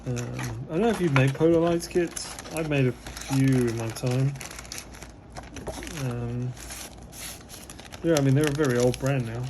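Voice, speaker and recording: A man who is losing his voice a little talks casually through unboxing a model kit. His slightly hoarse voice sits at an ordinary pitch, and he rambles along with plenty of fillers. A faint hiss of background noise runs under the recording. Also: a little slow, long pauses. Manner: relaxed and rambling, many fillers.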